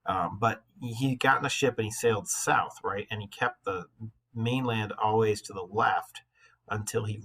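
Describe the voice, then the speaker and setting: A man's voice: on the low side, sharp tone, husky, poor audio. A man working as a teacher, reading a story aloud like a storybook and explaining it in casual, conversational asides.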